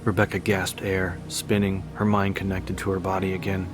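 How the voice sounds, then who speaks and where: A man's voice: sits low, flat delivery, moderate pace, music in the background. A man narrating a dramatic story in a scripted audiobook style.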